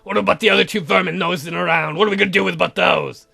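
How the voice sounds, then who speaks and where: A young vampire count as a man plays him with a high male voice and a gravelly edge. He is sardonic and easily irritated by his relatives, in a visual-novel read-through with faint music behind. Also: steady tone, very fast, pushed and bright, full character voice.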